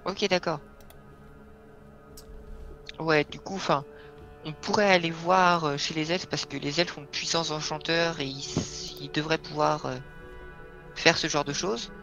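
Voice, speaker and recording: A man's voice: fairly high pitch, steady tone, a little slow, vocal fry, pauses often, sharp tone, faint music behind. A man playing a tabletop role-playing game, planning moves aloud with many fillers. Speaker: player. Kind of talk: casual chat planning a game session.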